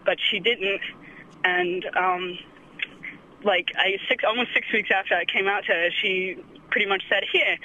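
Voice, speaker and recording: A woman from Lebanon calls in to a radio show and speaks hesitantly and softly over the phone. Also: mid-range voice, slight background noise. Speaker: caller. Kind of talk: radio call-in. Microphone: telephone. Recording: muffled phone line.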